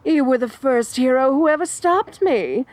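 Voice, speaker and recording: A woman's voice: high-pitched, lively intonation, normal speed, darkish tone, no room sound. A woman voicing an obsessive, theatrical female supervillain in an audio roleplay, dramatic and giggly, swinging between coy and menacing. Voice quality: dramatic, giggly, sing-song.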